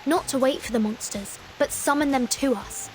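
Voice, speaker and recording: An actress playing a calm, reserved young female leader with a measured, polite manner in a video game. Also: high-pitched, faint music behind, natural voice, low-quality recording. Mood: calm, resolute. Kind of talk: English video game character acting.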